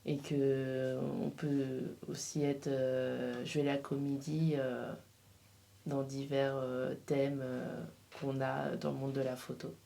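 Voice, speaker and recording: A woman interview guest with a low, soft-edged, mellow voice. She speaks slowly and hesitantly, searching for words as she answers in a casual way. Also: little pitch movement, creaky. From Portugal. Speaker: interview guest, freelance embroiderer.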